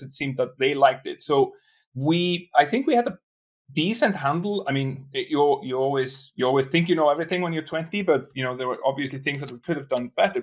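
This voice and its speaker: A man's voice: fairly high pitch, lo-fi sound. A man from Iceland, not a native English speaker, answering interview questions in a hesitant way with many restarts and fillers. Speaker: guest, startup founder. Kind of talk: casual interview talk.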